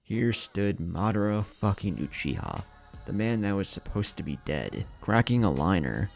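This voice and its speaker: Male story narrator, deep and creaky, dramatic scripted read, music bed. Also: very animated, moderate pace. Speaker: fan fiction narrator.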